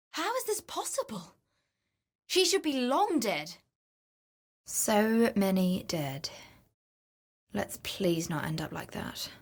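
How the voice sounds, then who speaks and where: A woman's voice: mid-range voice, husky. A woman playing a wry, greedy female explorer and treasure hunter in a fantasy video game, with a dry, teasing, conversational manner. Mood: sober, troubled. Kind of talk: video game character voice lines.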